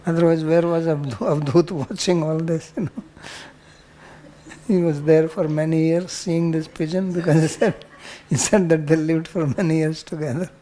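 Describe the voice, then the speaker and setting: An older man teaching a spiritual class and answering students' questions in a calm, plain-spoken way with dry humor. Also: fairly high pitch, normal speed, rough recording.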